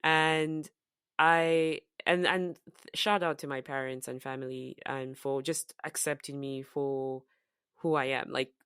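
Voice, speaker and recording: A woman from Zambia whose accent is softened by years in the US and UK, co-hosting a podcast in casual, reflective chat with frequent fillers and restarts. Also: very deep voice, steady tone, a little slow, clear, resonant tone, dry recording, studio quality. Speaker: podcast co-host.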